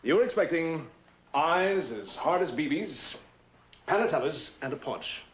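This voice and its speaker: A man's voice: a little high, animated, unhurried. An actor playing a wealthy, eccentric, world-weary man, talking in a wry, rambling, theatrical way in mid-century television drama style. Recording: noisy old TV drama soundtrack.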